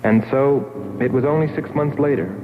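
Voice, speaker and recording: A man playing a haunted astronaut who narrates his voyage in a brooding, deliberate, dramatic 1960s film style. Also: medium pitch, very noisy, natural voice. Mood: sober recounting. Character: haunted male astronaut recounting his voyage, wistful and obsessed. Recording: tinny old film soundtrack under loud music.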